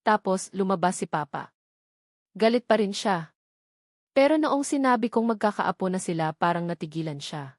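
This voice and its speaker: A woman's voice: mid-range voice, lively intonation, moderate pace, long pauses, darkish tone, dry recording, clean studio sound, lightly acted. A woman voicing an earnest, protective young man in an audio drama, speaking in a tender, reassuring way to the one he loves. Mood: emotional, earnest.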